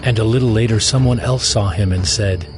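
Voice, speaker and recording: A man narrates a scripture drama in a lowish voice. His scripted reading is set over clear background music. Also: brisk, a little mellow.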